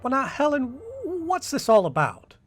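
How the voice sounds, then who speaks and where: Radio drama confidant male actor: dismissive, soothing, patronising man with highly varied intonation. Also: huge pitch swings, a little slow, soft background music, full character voice. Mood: scoffing. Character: dismissive, amused male friend and former rival in love. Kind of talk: old-time radio drama acting.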